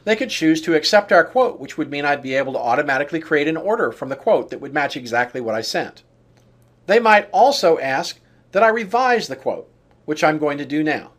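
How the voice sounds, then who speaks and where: A man with a somewhat high-pitched voice presents a software demo. He talks through each on-screen action step by step, explaining as he goes in the manner of a tutorial. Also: lively intonation, brisk. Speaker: software demonstrator. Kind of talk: walkthrough tutorial narration of on-screen steps.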